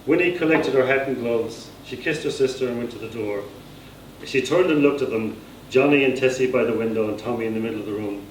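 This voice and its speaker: A man's voice: medium pitch, fairly quick. A man with an Irish accent reading his own literary fiction aloud as a scripted narration. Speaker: author reading own novel extract. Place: indoor reading at a society gathering. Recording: echoey room, distant mic, faint noise.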